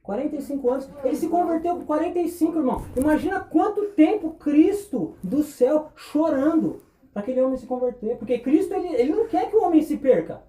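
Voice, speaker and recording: A man preaching a sermon, impassioned, firing rhetorical questions and dropping between loud and hushed. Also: extremely high pitch, animated, fairly quick, reverberant, rough recording. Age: 20s.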